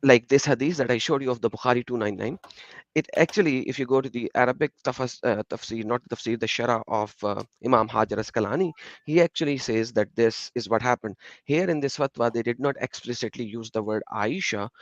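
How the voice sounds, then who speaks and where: A man whose first language is Urdu speaks in an online discussion, reading texts aloud and glossing each term in turn. His voice sits at an ordinary middle pitch. It comes through a video call with dull, lo-fi, dry sound. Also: no echo. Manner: explanatory, reads passages aloud and glosses terms. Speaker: guest panelist.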